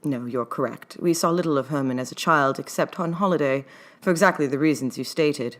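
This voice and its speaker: A woman's voice: low-pitched, brisk, close to an everyday voice. A woman voicing a guarded, snappish male academic with formal, precise wording in an audio story. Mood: stiff, guarded.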